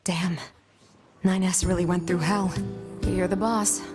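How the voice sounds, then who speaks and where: A woman's voice: on the low side, fairly even intonation, unhurried, over music, close to an everyday voice. A woman playing a wry, easygoing soldier comrade in a video game, talking informally with a teasing edge. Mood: wry, casual. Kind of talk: video game cutscene acting.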